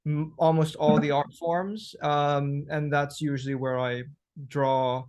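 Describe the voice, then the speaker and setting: A man from Thailand, a musician guest, answering interview questions thoughtfully with frequent pauses and restarts. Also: on the high side, steady tone, a little mellow, smooth. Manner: thoughtful, hesitant, many restarts.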